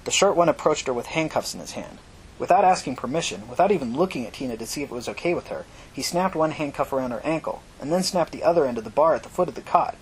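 A man's voice: lively intonation, quick pace. A man narrating dramatic fiction as an audiobook reader. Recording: noisy recording with low rumble.

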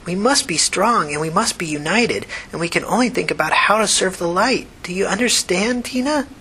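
Man voicing an earnest, hushed young woman, pitched high. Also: lively intonation, normal speed, husky. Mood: solemn, preachy. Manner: hushed, urgent, preachy. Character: earnest pleading young woman, devout cult follower.

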